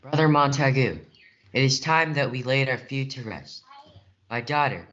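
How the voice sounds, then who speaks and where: Casting: male student as grieving nobleman making peace, medium pitch, school play reading, dull lo-fi sound. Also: normal speed, a bit bright, natural voice. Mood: sorrowful. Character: sorrowful, conciliatory father, feuding lord. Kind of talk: school reader's theatre performance. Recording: dull lo-fi audio.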